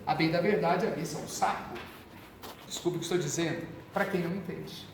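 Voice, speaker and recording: Brazilian priest teaching in an echoey hall. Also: male voice, a little high, fairly quick, noisy background. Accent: Brazilian Portuguese, São Paulo. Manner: animated, conversational, with asides and jokes. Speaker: priest giving catechist training. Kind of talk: informal teaching talk to parish catechists. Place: parish hall. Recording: echoey parish hall from far off.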